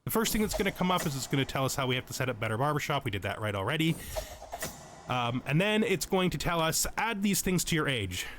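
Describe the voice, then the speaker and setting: A man with a mid-pitched voice talks through a game in a casual, explanatory tutorial style. He thinks aloud and corrects himself as he goes. Noticeable background noise sits behind his voice. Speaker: let's play host.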